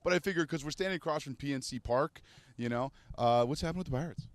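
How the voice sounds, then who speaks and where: A man's voice: fairly high pitch, fast. A man from Montreal hosting a casual outdoor show by a city river, chatty and jokey. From Montreal, Canada. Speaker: host.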